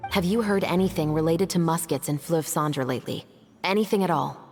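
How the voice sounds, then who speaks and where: A woman voicing a composed, methodical young female investigator in a video game, measured and politely firm, over music. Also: sits low, a little mellow. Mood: calm, probing. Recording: music playing behind the voice.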